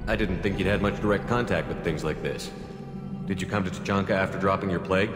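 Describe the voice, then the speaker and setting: Man acting deep, quick-spoken, blunt game commander. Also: lightly acted. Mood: skeptical. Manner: blunt, probing questions. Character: male military commander, direct, principled, challenging. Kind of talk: dramatic video game dialogue. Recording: echoey hall reverb, music behind.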